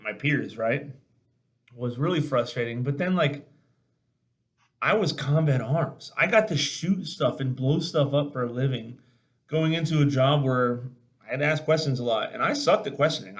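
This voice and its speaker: Male veteran host, mid-pitched, casual and blunt storyteller who acts out both sides of a conversation. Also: normal speed. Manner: animated, blunt, salty-tongued, acting out dialogue. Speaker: podcast host and army veteran. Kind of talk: casual storytelling on a video chat.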